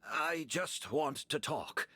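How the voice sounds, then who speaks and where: A man playing a frail, shrewd old man in a video game, raspy and breathy, speaking in a measured, halting, weary way. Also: a little high, expressive, pushed and bright, no room sound, heavily acted. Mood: calm, calculating. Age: older.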